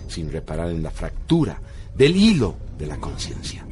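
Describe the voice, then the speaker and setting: A man's voice: sits low, wildly swooping pitch, normal speed. A man narrating a radio drama in a solemn, theatrical way. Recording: music bed behind voice.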